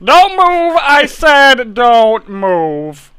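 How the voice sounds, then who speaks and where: A man's voice: very high-pitched, big pitch swings, drawn-out words, sharp tone, clear, resonant tone, no echo, studio quality, voice pushed up, exaggerated voice. A man playing a menacing gunman barking orders in old-time radio mystery style. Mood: threatening.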